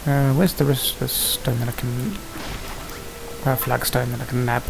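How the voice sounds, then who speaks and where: A man's voice: mid-range voice, fairly even intonation, moderate pace, creaky, low-quality recording. A man giving casual, hesitant gameplay commentary, muttering to himself as he plays. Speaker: gamer narrating own play. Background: game music and sound effects.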